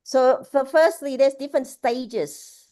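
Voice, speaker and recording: A woman from Laos giving a casual spoken Buddhist teaching over a video call, halting, with many restarts and fillers. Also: sits high, mellow, no echo, studio quality. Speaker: Buddhist speaker.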